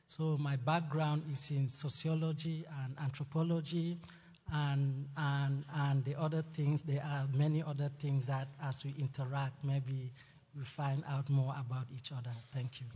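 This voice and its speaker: A man from Cameroon, a sociologist on a panel, introducing himself in a measured way. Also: mid-range voice, flat delivery, warm tone. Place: large lecture hall stage. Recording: reverberant large hall.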